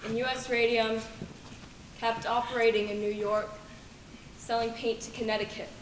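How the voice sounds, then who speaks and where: A woman performs a bitter, disillusioned young factory worker delivering a stage monologue. The voice is fairly high and echoes around the room, picked up from a distance with clicks and noise. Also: flat delivery, normal speed, lightly acted. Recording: roomy distant stage recording, noisy, clicks.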